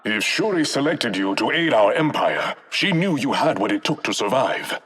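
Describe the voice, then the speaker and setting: An actor voicing a proud warrior king in a video game, with an African accent and a measured, dignified, formal manner. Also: mid-range voice, big pitch swings, a bit bright, slightly rough. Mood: measured, regal.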